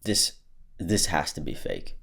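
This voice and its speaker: A man's voice: very deep voice, big pitch swings, unhurried, creaky, a bit bright, husky. A man hosting a comedic commentary video, reading out online posts and mocking them with sarcastic jokes. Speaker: YouTube commentary host.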